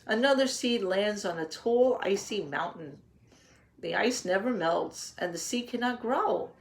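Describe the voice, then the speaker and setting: A woman reads a picture book aloud as a teacher would to young children. Her pace is slow and her pitch sits in a middle range. She pauses to explain things simply and patiently, posing questions as she goes. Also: expressive.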